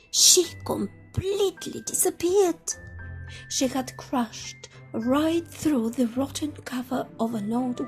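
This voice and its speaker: A woman reading a children's picture book aloud as an expressive storyteller. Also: on the high side, poor audio.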